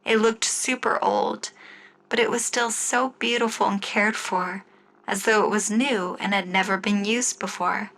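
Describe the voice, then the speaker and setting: A woman narrating a scripted first-person story in the style of an old-time radio show, with deliberate storytelling phrasing. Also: medium pitch, steady tone, vocal fry, a bit bright. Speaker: writer and narrator of an audio story.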